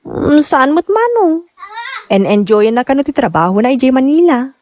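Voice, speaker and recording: A woman playing a caring, cautious younger woman in an Ilocano radio drama, concerned and gently questioning. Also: high voice, very animated, moderate pace, soft background music.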